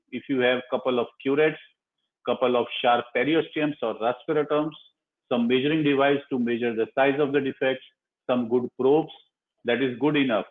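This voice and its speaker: A man with an Indian accent moderates a live online discussion, answering audience questions in a measured, explanatory way. His voice sits at a middling pitch and pauses now and then. The sound is narrow and phone-like, as on an internet call. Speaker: surgeon moderating a question session. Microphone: online video call. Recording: muffled, narrow-band internet call audio.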